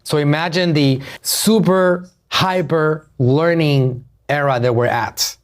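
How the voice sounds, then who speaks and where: Emphatic Puerto Rican marketer, higher-pitched. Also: male voice, slow pace. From Puerto Rico. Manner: emphatic, repeats key phrases, asks the listeners questions. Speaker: podcast host, marketer. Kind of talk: motivational business talk to an audience.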